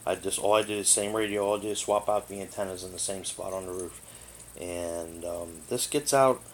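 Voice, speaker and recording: A man giving a casual hands-on product overview in a rambling, matter-of-fact way, recorded indoors on a tablet microphone. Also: fairly low pitch. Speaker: product reviewer. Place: living room indoors. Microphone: tablet's built-in microphone. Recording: noisy amateur tablet recording.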